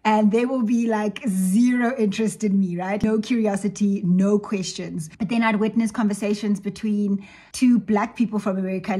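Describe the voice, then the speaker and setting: A woman in her thirties, raised in South Africa, speaks at a middle pitch in a reflective, conversational commentary. She drops in frequent asides as she talks. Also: a little mellow, breathy edge. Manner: conversational, reflective, uses asides like "you know" and "right". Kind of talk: personal video essay commentary.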